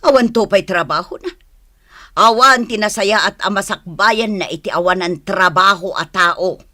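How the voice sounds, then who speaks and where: A man's voice: high-pitched, moderate pace, bright, forceful tone, no room sound, clean studio sound. A man playing a stern, disapproving village father in a radio drama, with an Ilocano accent. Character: stern, disapproving village parent of a grown daughter.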